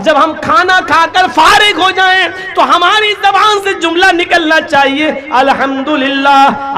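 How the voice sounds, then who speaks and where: A male preacher giving an impassioned sermon, often raising his voice to a shout and slowing down to recite Arabic prayers. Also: extremely high pitch. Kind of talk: Islamic sermon.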